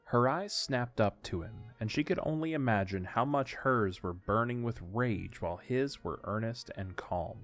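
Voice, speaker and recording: A man's voice: mid-range voice, normal speed, few pauses, a bit bright, soft background music. A man narrating a scripted audio drama as its storyteller.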